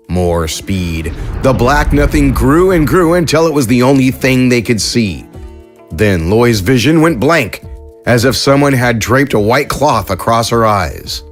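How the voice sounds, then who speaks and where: A man narrating a science fiction story in a calm, measured way, then reading the credits. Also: medium pitch.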